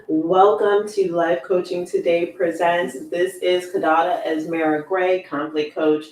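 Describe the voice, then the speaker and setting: A woman's voice: fairly low pitch, darkish tone, recorded from a distance. A woman hosting a podcast as a conflict coach, chatting casually, with a strained voice that keeps giving out. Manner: chatty, rambling, with many fillers. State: losing her voice. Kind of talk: casual livestream podcast chat. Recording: echoey room, voice off-mic.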